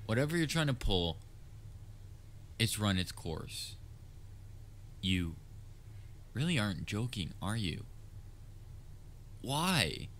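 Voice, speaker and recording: Guy doing a lowish voiced supervillain for a comfort roleplay, theatrical but caring, kinda halting and wry, noisy ambience behind him. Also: very animated, fairly bright tone, heavily acted. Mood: shocked, pleading. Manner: earnest, halting, with wry banter. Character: theatrical supervillain, soft-hearted, anxiously fond of his hero nemesis. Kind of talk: comfort roleplay audio acting addressed to listener.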